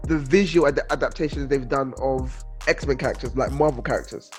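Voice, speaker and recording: A man's voice: mid-range voice, brisk, faint music behind. A man in casual chat, talking emphatically and often repeating himself. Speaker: podcast panel member.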